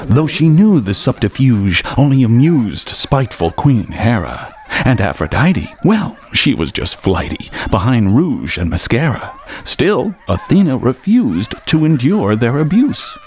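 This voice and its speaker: An actor playing a hip, playful narrator of Greek myths in a radio drama, with theatrical rhyming delivery. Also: male voice, mid-range voice, sing-song, a little slow, darkish tone. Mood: wry, dramatic. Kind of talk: rhythmic rhyming radio storytelling over music. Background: music.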